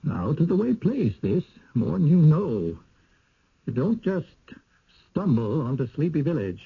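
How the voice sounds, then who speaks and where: An actor playing a fearful, folksy village local with a rustic Down East accent and a halting, ominous delivery in a radio drama. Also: male voice, a little high, big pitch swings, gravelly, breathy edge, heavily acted. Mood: ominous, evasive. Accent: Down East New England. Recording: muffled, phone-like old radio recording.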